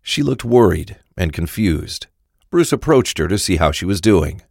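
A man's voice: sits low, sing-song, normal speed, vocal fry, darkish tone, dry recording, studio quality. A man narrating a scripted audio drama as storyteller.

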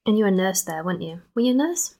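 A woman's voice: mid-range voice, lively intonation, rapid-fire, dark tone. Chatty young woman. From Skipton, North Yorkshire. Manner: rambling, playful asides. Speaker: podcast host. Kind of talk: chatty spoken introduction and interview.

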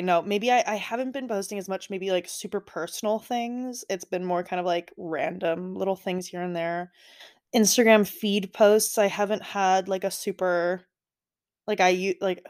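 A woman's voice: medium pitch, darkish tone. A young woman hosting a podcast, chatting casually and rambling with lots of "like" fillers. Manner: rambling, heavy use of "like". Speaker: podcast host. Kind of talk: casual personal chat.

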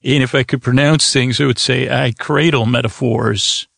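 A man hosting a bedtime comedy podcast, improvising a meandering, halting, absurdist monologue in a creaky voice. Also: mid-range voice, steady tone, a bit bright, no echo, studio quality. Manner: meandering, halting, absurdist one-sided conversation with an imagined doctor. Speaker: podcast host.

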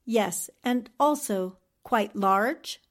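An actress playing a shrewd, confident newspaperwoman sleuth in a 1940s-style radio mystery, deliberate and probing. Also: mid-range voice. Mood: probing, suspicious.

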